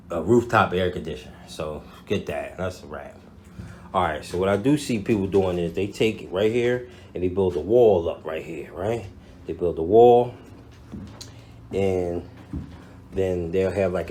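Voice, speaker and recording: Deep-voiced American man, casual vlogger. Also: dark tone, slight background noise. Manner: slangy, informal, addressing viewers directly. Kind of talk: casual unboxing and walkthrough talk to camera.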